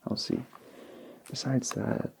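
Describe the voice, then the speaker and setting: A young man whose first language is Polish, speaking softly and slowly as an ASMR creator answering viewer questions. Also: slight background noise. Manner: slow and relaxed, with small restarts. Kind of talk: soft-spoken ASMR Q&A. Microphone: handheld field recorder.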